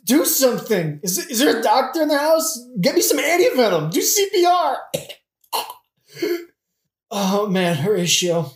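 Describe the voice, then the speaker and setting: A man's voice: extremely high pitch, very animated, a little slow, long pauses, full character voice. A man playing a dying young prince, sarcastic and crude, with slangy, melodramatic delivery in a modern comedic audio play. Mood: desperate. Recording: roomy, echoing recording.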